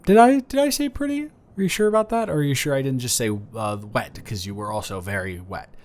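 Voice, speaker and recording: A man's voice: medium pitch, animated, brisk, a little mellow, lightly acted. A man playing a flirty, awkward, kind neighbor in an improvised roleplay audio, stammering, rambling and correcting himself in a casual conversational way. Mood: flirty, flustered.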